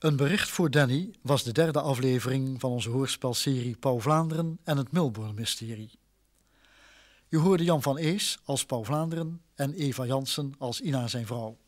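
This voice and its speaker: A man's voice: medium pitch, normal speed. Male announcer, mid-range voice, scripted radio drama credits.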